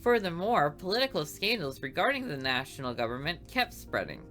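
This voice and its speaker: A woman voicing a prim, model schoolgirl who is class valedictorian, reciting in a polished, even way. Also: sits low, a little fast, faint music behind. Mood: poised.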